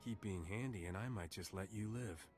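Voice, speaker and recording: An actor playing a cocky, flirtatious mercenary smuggler captain in a video game, with a wry, swaggering delivery. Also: male voice, lowish voice, normal speed, music in the background, close to an everyday voice. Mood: wry threat.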